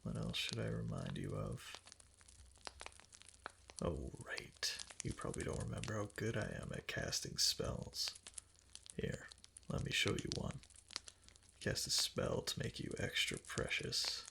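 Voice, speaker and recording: Male actor as kitsune husband for ASMR roleplay: very low, swooping intonation, breathy and gravelly, tender yet obsessively devoted. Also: wildly swooping pitch, background noise, full character voice. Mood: tender, playful. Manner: tender, halting, cooing endearments. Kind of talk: intimate roleplay ASMR, soft and partly whispered.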